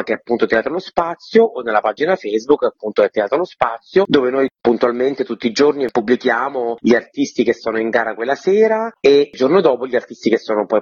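A man's voice: medium pitch, husky, no room sound. A man giving explanatory answers as a theatre director in an interview. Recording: slightly muffled, dry lo-fi audio.

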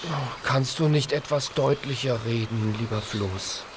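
A man voicing a gentle, curious, earnest piglet in a children's radio play, speaking in a wondering way. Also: mid-range voice, a little slow, natural voice. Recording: clear ambient noise behind.

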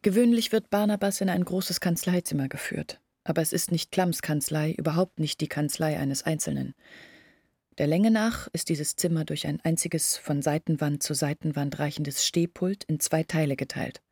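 An actress playing an earnest village woman in a radio play, explaining things in a measured, husky voice. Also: sits low, a little mellow, no room sound. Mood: troubled, insistent. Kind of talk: literary radio play monologue.

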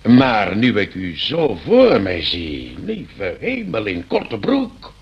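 An actor playing a stern, condescending landlord in a radio play, lecturing with pompous mock courtesy. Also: male voice, on the low side, wildly swooping pitch, slow pace, breathy edge, muffled recording, heavily acted. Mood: stern, indignant. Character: stern, condescending landlord scolding his eccentric tenant.

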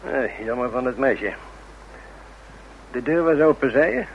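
An actor playing a matter-of-fact police inspector in a radio crime drama, a man with a measured, questioning manner. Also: medium pitch, animated. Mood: measured, probing. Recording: muffled, faintly noisy radio recording.

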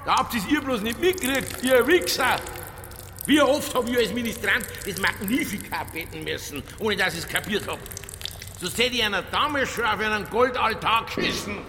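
An actor playing a stubborn, provocative Bavarian police detective in a radio crime drama, earthy and argumentative. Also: male voice, sits high, moderate pace, long pauses, noisy background. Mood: defiant, ranting. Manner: earthy, argumentative, quoting scripture.

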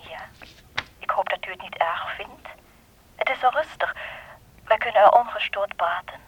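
An actress playing a reserved, discreet stranger on the telephone in a radio drama, speaking carefully and haltingly. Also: female voice, mid-range voice, slightly rough, close to an everyday voice. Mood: guarded, polite. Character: reserved, discreet woman stranger phoning for a meeting. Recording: dull, slightly noisy telephone-scene recording.

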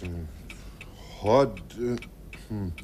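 A man's voice: on the low side, extremely slow, darkish tone, slight background noise. An actor playing a jovial, joking father who hesitates often, in a radio play. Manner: joking, hesitant, with many ums. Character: jovial, blustering middle-aged father.

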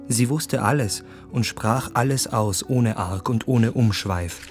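A sensitive young man played by a male actor in a radio play, reflective and lyrical. His voice is of middling pitch, with music around it. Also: slow, darkish tone, close to an everyday voice. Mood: wondering. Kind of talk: poetic radio drama monologue.

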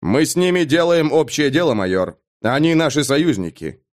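A man's voice: fairly high pitch, animated, moderate pace, natural voice. A man voicing a stern, authoritative guard captain with a dry, curt manner in an audiobook story. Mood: firm.

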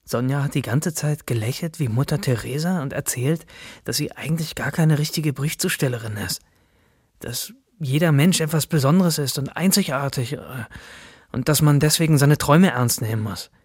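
An actor playing a disgruntled colleague, recounting things colloquially and sardonically in a radio drama. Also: male voice, medium pitch, normal speed, darkish tone, slightly rough, dry recording, studio quality, lightly acted. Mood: sardonic. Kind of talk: German radio drama monologue and dialogue.

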